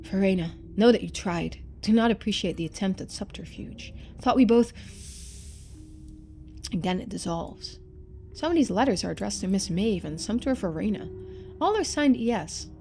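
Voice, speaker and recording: A woman streamer reading a story game aloud in expressive narration, with casual asides. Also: medium pitch, normal speed, a little hiss or hum.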